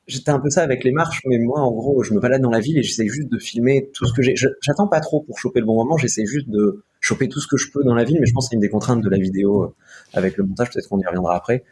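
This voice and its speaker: A man's voice: mid-range voice, quick pace, darkish tone, slight background noise. A young man from Marseille talking casually as an interview guest about his video work, rambling with many fillers and restarts. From France, Marseille.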